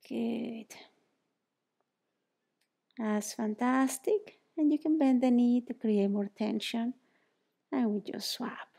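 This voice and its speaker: A woman from Mexico with a Mexican Spanish accent, in her thirties, guiding a yoga exercise in a bright indoor studio, soothing and encouraging, often dropping to a near whisper. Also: on the high side, creaky. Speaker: yoga teacher. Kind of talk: guided exercise instruction.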